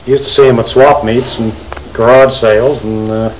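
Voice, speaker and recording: A man's voice: mid-range voice, steady tone, normal speed, slight background noise, low-quality recording. A man chatting casually as a customer in a small shop.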